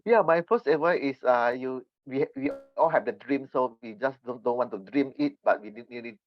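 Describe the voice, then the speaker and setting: A Malaysian man, a native Mandarin speaker, answering podcast interview questions earnestly and haltingly as he searches for words. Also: medium pitch, faint music behind. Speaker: podcast guest. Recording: dull, slightly lo-fi remote connection.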